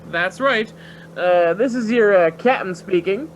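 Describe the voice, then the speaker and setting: An actor playing an eager, carefree young student pilot in a naturalistic radio play, boyish, slangy and enthusiastic. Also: male voice, high voice, unhurried, slight background noise, low-quality recording. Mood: carefree, excited. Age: young adult.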